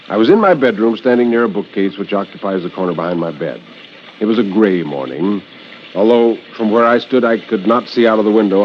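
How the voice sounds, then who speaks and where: A man's voice: on the low side, natural voice. Actor as haunted, theatrical male storyteller, vintage radio. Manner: theatrical, measured, dramatic pauses. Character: anxious, drowsy, introspective man narrating his uncanny experiences. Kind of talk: 1950s radio horror story narration. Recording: dull vintage radio recording with music.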